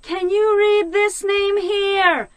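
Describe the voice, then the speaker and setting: A woman's voice: very high-pitched, steady tone, slow pace, slight background noise. A woman playing a small, scornful wren defense lawyer, cross-examining pointedly in a comic rhymed radio play. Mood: pointed, skeptical.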